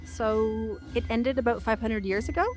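Casting: curious female radio host, played by an actress, slightly high voice, friendly and wry, music underneath, audio drama. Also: steady tone, normal speed, darkish tone, natural voice.

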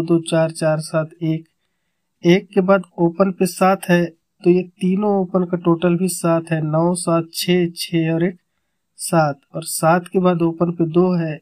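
A man with a fairly high voice explains number-chart calculations in a flat, level tone. He counts aloud step by step and repeats each sum as he goes. He sounds close to the mic. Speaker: number-game tipster.

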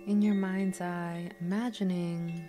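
A woman guiding a meditation in a soothing, unhurried manner, leaving long pauses between short instructions. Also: on the low side, flat delivery. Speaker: meditation guide. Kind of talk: guided meditation narration with slow instructions. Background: singing bowls and chimes.

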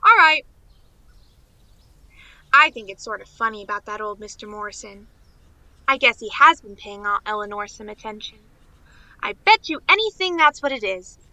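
A woman's voice: mid-range voice, animated, normal speed, long pauses, pushed and bright, dry recording, rough recording. A woman playing a naive, eager young niece in a radio play, chattering in an artless, self-assured, girlish way. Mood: excited, scheming.

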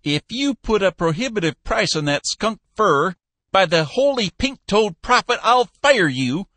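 A man voicing a blustery, excitable old company boss for an audiobook, exclamatory and emphatic. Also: high-pitched, measured pace, no echo, pitched above the performer's own voice, full character voice. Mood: stern, blustering. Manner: exclamatory, emphatic, folksy oaths. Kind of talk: audiobook character voice.

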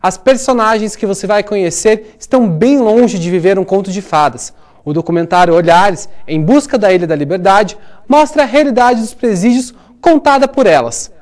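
A man's voice: high voice, animated, moderate pace, a little mellow. A young man presenting a student newscast, speaking straight to the viewer. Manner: addresses the viewer directly. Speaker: news presenter. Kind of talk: scripted news presentation. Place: TV studio.

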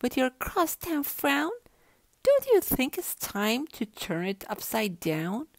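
A woman voicing a glum, resigned male fish in a rhyming children's storybook, with a mopey, drawn-out delivery. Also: high voice, very animated, no echo, voice pushed up, full character voice. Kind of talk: children's storybook read-aloud.

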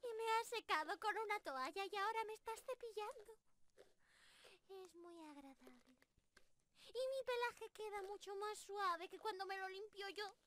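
A woman's voice: very high-pitched, normal speed, fairly bright tone. A woman voicing a cute, cheeky young squirrel girl in an ASMR roleplay, playful and pleading as she talks straight to the listener. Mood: soft, content. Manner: playful, genki, pleading and apologetic.

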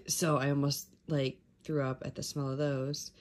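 A woman's voice: very deep voice, flat delivery, measured pace, a little mellow. A woman in her twenties with an American accent, chatting casually to viewers as she vlogs at home on a handheld camera. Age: 20s. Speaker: vlogger. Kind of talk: casual vlog chat to viewers. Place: indoors at home, kitchen and living room. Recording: clear household background noise.

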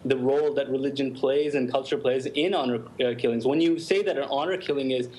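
A man's voice: medium pitch, fairly quick, rough recording. Blunt lawyer man on a video call. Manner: forceful, blunt, argumentative. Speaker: immigration lawyer, panel guest. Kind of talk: televised panel debate.

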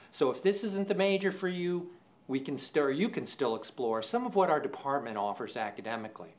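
A man in his sixties speaking as a presenter in a formal, measured, scripted style, enunciating deliberately. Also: medium pitch. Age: 60s. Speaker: department chair presenting awards. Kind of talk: scripted awards show presentation to camera. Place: indoor studio set.

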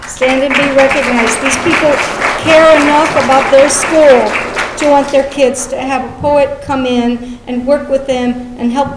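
A middle-aged woman hosting an event, addressing an audience from a podium. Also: high-pitched. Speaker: event host. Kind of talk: welcoming public address to an audience. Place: podium in a lecture hall. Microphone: gooseneck podium microphone. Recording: echoey hall, crowd noise.

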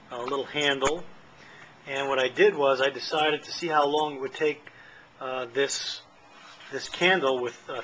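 A man's voice: medium pitch, sharp tone, a little hiss or hum, rough recording. A middle-aged man casually demonstrating a camping candle stove at an outdoor patio table, talking in a plain, rambling way with many fillers, recorded on a handheld camera. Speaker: channel host. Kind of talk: casual product demo.

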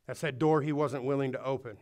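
A man's voice: mid-range voice, fairly even intonation, a little fast, a little mellow. A man preaching a sermon to a congregation in a church, speaking earnestly and urging his listeners, with some restarts. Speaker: pastor. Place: church hall before a congregation.

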